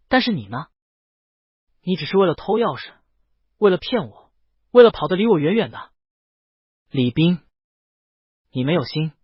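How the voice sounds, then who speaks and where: A man's voice: fairly high pitch, slightly rough, dry recording. A man voicing a proud, possessive, lovesick young man in an audiobook romance, coaxing and intense. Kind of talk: audiobook character dialogue in a romance. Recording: dull lo-fi, very dry close recording.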